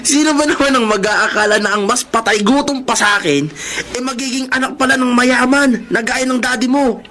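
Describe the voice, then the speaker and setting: A man's voice: very high-pitched, brisk, bright, forceful tone. A man playing a poor young street buddy in a Filipino radio drama, slangy and teasing.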